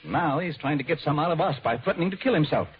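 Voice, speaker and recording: An actor playing a fussy, anxious insurance manager in an old-time radio drama, speaking in a formal, exasperated way. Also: male voice, a little high, animated, quick pace, slight background noise, natural voice. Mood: exasperated, grave. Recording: dull vintage radio recording.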